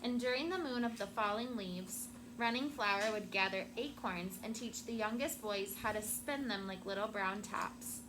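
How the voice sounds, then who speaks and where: A woman's voice: mid-range voice, moderate pace, few pauses. Woman teacher reading aloud, noisy recording. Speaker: elementary school teacher. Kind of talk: storybook read-aloud with questions to children. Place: indoor classroom with children.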